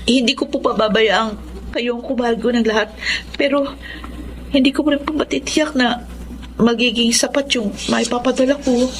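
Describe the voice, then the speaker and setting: A woman plays a humble young Filipino mother in radio drama style. Her voice is on the high side, deferential and apologetic, over faint background noise. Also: fairly even intonation, moderate pace, pauses often, natural voice, low-quality recording. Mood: pleading. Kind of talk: Tagalog radio drama acting.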